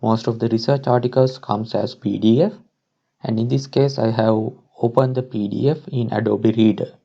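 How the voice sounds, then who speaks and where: A man presenting a step-by-step software tutorial as a screen-recording voice-over. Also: lowish voice, fairly even intonation, moderate pace, creaky, husky, lo-fi sound. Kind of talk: instructional screen-recording narration.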